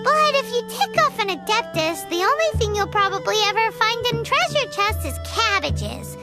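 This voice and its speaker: Pint-sized fairy companion for a game: childlike squeak, sky-high pitch, animated and excitable. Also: female voice, moderate pace, over loud music, full character voice. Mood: indignant. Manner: speaks of self in third person, exclamatory. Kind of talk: cartoonish video game voice acting.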